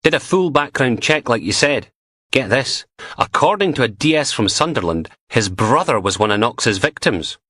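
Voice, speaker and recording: A man's voice: medium pitch, lively intonation, normal speed, fairly bright tone, husky, no room sound, clean studio sound, pitched above the performer's own voice. A man voicing an eager young male police constable who reports in a chatty way.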